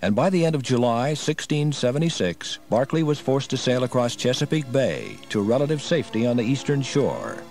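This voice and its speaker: Male narrator, scripted history narration, medium pitch, music bed. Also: big pitch swings, moderate pace, hardly any pauses, darkish tone.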